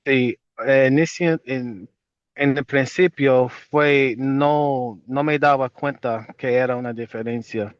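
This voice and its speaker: A man's voice: medium pitch, steady tone, no echo. American man, dull dry recording. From Chicago, United States. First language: English. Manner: halting, self-correcting second-language speech. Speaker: interview guest. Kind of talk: casual conversational interview in learned Spanish.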